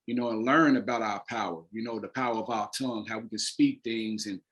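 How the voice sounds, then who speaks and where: A man's voice: on the low side, fairly even intonation, brisk, a bit bright. A man talking casually and emphatically as an interview guest, an actor with informal, slangy speech. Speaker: stage play creator and actor, interview guest.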